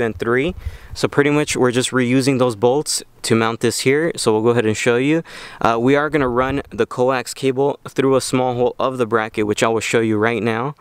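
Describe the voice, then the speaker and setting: A man's voice: medium pitch, smooth, no room sound. A man hosting an informal how-to video, explaining things step by step in a casual way. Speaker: video host.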